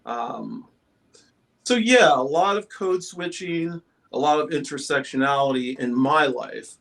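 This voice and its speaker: A middle-aged speaker with a male-sounding voice reflecting casually and personally, in a halting, thoughtful way, as a panelist. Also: mid-range voice, lively intonation, vocal fry, fairly bright tone.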